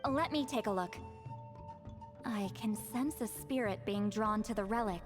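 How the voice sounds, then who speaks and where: A woman's voice: medium pitch, a little slow, natural voice. A woman voicing a shrewd, no-nonsense grandmother clairvoyant in a video game, brusque, wry and decisive. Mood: focused. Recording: music behind the voice. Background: game music.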